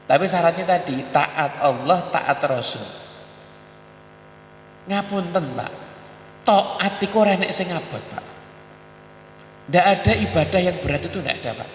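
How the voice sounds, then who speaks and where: A Javanese-accented man in his thirties gives a casual, humorous sermon, slipping between languages and voicing the audience's questions. His voice is bright and a little high. It echoes around a large hall over a faint electrical hum. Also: animated, measured pace, long pauses. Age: 30s. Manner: jokey, mixes languages, acts out audience questions. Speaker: preacher. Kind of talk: Islamic sermon.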